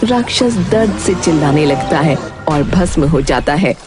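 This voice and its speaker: Female narrator, scripted children's storytelling, slightly low pitch, clear background music. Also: lively intonation, moderate pace.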